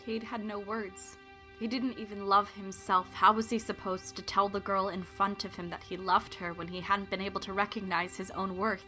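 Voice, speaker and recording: Young woman as narrator of a love story, bright clear-cut tone, really invested, quiet music behind. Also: mid-range voice, little pitch movement, normal speed, natural voice. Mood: reflective. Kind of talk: audio drama narration.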